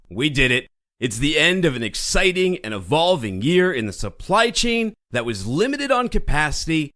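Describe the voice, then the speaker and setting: A man opens his podcast with a bright, upbeat scripted introduction. His pitch rises and falls a lot, with lively swings on key words. The voice sits at a middle pitch for a man. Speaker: podcast host.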